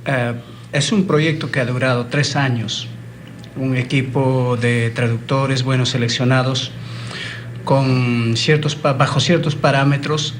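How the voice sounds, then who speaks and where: A man's voice: mid-range voice, a little hiss or hum. A man in his forties from Ayacucho whose first language is Quechua, speaking earnestly as a translator guest in a television interview. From Ayacucho, Peru. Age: 40s.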